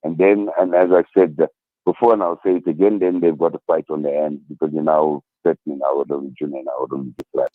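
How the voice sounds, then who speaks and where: A man's voice: rumbling low, level tone, fairly quick, no echo, faint music behind. A man speaking earnestly as an interview guest over the phone, often repeating his words and phrases. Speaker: interview guest, local community representative. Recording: tinny narrow-band phone line.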